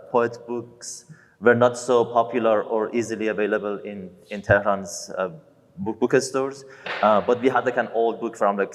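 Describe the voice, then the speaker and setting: A man who grew up in Iran and speaks English as a second language, talking hesitantly with many pauses and restarts as an artist on a panel. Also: lowish voice. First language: Persian. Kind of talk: panel discussion. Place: gallery event space. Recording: long gallery reverb.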